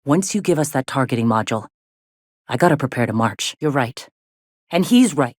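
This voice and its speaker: An actress playing a tough, weary rebel medic and second-in-command in a video game, speaking in a blunt, sardonic, foul-mouthed way. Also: female voice, a bass voice, animated, normal speed, darkish tone, dry recording, clean studio sound. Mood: grave, conflicted. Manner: blunt, earthy, plain-spoken, sardonic. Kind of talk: video game dialogue acting.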